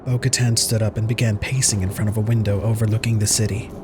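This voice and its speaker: A man's voice: fairly low pitch, level tone, fast talker, background noise. A man reading scripted dramatic narration as the narrator of an audio story.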